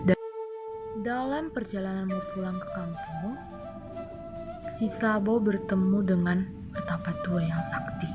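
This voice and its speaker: A young woman tells a folktale to children like a student teacher. Her delivery is deliberate and didactic, with dramatic pauses. The audio is slightly dull, with music clearly playing underneath. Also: medium pitch. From Indonesia. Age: young adult. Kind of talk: children's storytelling. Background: background music.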